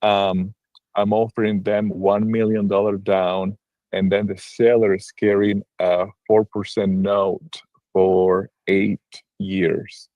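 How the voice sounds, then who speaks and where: A man's voice: fairly low pitch, flat delivery, slow, no room sound, highs cut off. A man from Argentina, a real estate broker, talking on a livestream video call, hesitant with many restarts and fillers. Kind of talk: casual livestream call-in chat.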